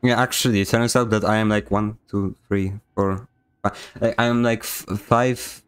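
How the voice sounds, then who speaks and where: A man's voice: fairly low pitch, steady tone, moderate pace, fairly bright tone, clean tone. A young man from Poland with a Polish accent, giving joking, sarcastic commentary while streaming a game. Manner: joking, sarcastic, mock-indignant. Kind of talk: casual gameplay commentary.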